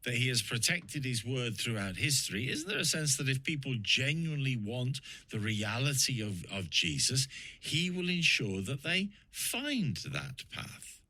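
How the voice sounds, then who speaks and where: A male radio presenter with a bright, forceful voice, recorded dry and close. He puts probing, leading questions to his guest in a conversational way. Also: medium pitch, very animated, unhurried, no room sound.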